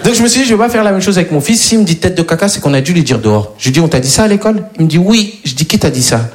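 A man doing a stand-up routine, telling a family story loudly and acting out the dialogue. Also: high-pitched, lively intonation. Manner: fast, loud storytelling with acted-out dialogue. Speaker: stand-up comedian.

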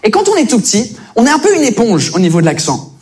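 A British man whose first language is English, doing stand-up comedy with animated storytelling in fluent French. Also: extremely high pitch, fast. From Britain. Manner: animated storytelling, acting out other people.

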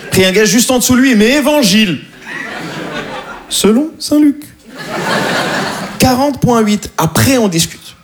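A man doing stand-up comedy on a club stage, telling stories with animation and slowing down for punchlines. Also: very high-pitched, crowd noise. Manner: animated storytelling, slows down for punchlines.

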